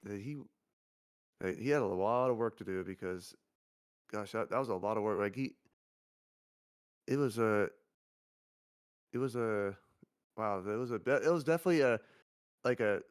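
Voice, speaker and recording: Monotone stuttering man. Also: lowish voice, normal speed, pauses often, clear, resonant tone. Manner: monotone, stuttering, many restarts. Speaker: agency CEO guest. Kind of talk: casual livestream chat.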